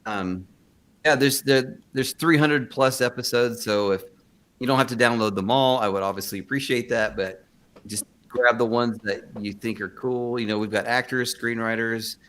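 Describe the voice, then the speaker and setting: A man's voice: medium pitch, normal speed, fairly bright tone. A man chatting casually as an interview guest, informal and self-deprecating in manner. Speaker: interview guest, screenwriter and podcaster. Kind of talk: casual chat.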